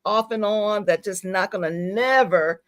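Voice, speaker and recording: A middle-aged woman talking conversationally as an interview guest, emphatic and animated, often checking in with short tag questions. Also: mid-range voice, a little slow, no room sound, studio quality. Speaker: talk show guest promoting events and a book.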